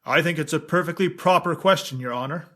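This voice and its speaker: A man performs a persistent 1890s male defense attorney in an audio courtroom drama. His voice sits a little high. He questions formally and precisely, with steady insistence. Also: a little fast. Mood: polite insistence.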